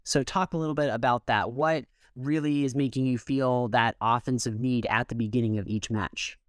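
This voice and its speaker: A man's voice: medium pitch, steady tone, moderate pace, dry recording, clean studio sound. A man speaking as a sports show host, asking interview questions and linking segments.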